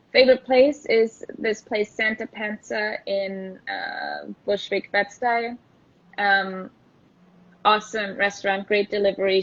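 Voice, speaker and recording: A woman's voice: mid-range voice, a bit bright. A young woman from Austria, a guest in a casual interview chat, thoughtful and full of fillers and restarts. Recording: lo-fi video call audio.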